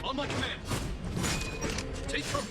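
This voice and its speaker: An actor playing a stern, dutiful guard captain in a video game, speaking formally and with command. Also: male voice, a little slow, over loud music, lightly acted.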